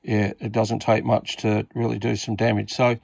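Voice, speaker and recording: A man's voice: on the low side, monotone, moderate pace, vocal fry, darkish tone, husky, no echo. An Australian man giving a casual, unscripted repair walkthrough, hesitantly thinking aloud as he works. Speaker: radio repair hobbyist presenter.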